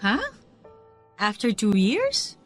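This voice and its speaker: A fond, encouraging woman school head in a radio drama. Her voice swings in an animated, effusive way with a teacherly touch, over clearly audible music. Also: mid-range voice, drawn-out words, heavily acted. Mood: fond, nostalgic. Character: warm, nostalgic woman school owner, encouraging older colleague. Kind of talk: radio drama dialogue in Taglish.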